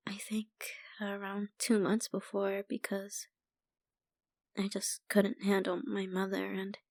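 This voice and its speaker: Troubled young female painter, played by a woman, ASMR roleplay. Slow, soft, hesitant delivery with a slightly creaky edge. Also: medium pitch, no echo, clean studio sound. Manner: halting, soft-spoken, with sighs and trailing phrases. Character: troubled young woman painter, a stranger venting family worries. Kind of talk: ASMR roleplay, intimate monologue.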